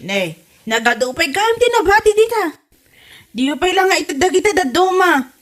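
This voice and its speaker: A kind-hearted young daughter-in-law, played by a woman in a comedy audio drama. Her voice sits high. She sounds exasperated and argues in a pleading, reproachful way. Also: very animated, moderate pace, a bit bright, exaggerated voice. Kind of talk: comedy radio drama acting.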